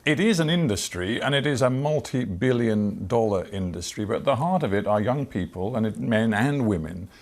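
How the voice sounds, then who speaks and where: An older man with a British accent conducting a broadcast interview, asking measured, deliberate and probing questions. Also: fairly low pitch, animated. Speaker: television interviewer.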